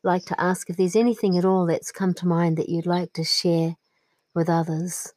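A woman hosting a podcast, asking gentle, tentative questions in an encouraging, conversational way. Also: lowish voice, flat delivery, moderate pace, no room sound. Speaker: podcast host.

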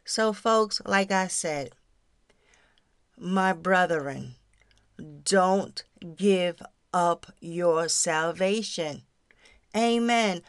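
Slow, earnest woman preaching. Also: on the low side, clean tone, no echo, studio quality. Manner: earnest, exhortatory, addresses listeners as friends. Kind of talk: devotional talk and prayer.

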